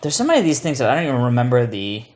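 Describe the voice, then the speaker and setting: Animated man chatting in casual commentary. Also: medium pitch, racing. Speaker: show co-host commenting on its intros.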